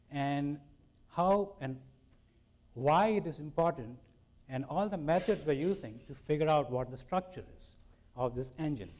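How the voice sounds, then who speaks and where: A middle-aged man with an Indian accent giving a public science lecture in an informal, self-deprecating way with lively emphasis. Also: a little high.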